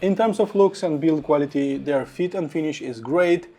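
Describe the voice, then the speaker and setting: Higher-pitched man, creaky, enthusiastic reviewer. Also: moderate pace, mellow, soft background music. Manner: enthusiastic, piles up adjectives. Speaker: product reviewer. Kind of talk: audio gear review to camera.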